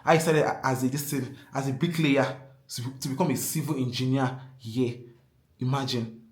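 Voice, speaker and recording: An earnest Nigerian-accented man speaking straight to camera in a mid-pitched voice. He drives his points home by repeating them and pleads openly with his viewers. The sound bounces around a reverberant room. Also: steady tone, moderate pace. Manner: emphatic, repeats key phrases, earnest and pleading. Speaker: vlogger. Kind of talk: casual advice vlog to camera. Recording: echoey room.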